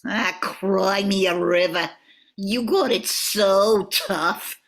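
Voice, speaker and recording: Older woman as a slow-talking, sarcastic, nasal New York nymph. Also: mid-range voice, fairly bright tone. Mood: sarcastic, lovesick. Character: lovesick, sarcastic young female nymph. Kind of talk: radio play acting.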